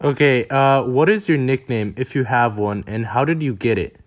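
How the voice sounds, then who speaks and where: A man's voice: mid-range voice, normal speed. Guy doing an interview, short questions, bit hesitant, ums and uhs. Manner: brief questions with hesitant fillers.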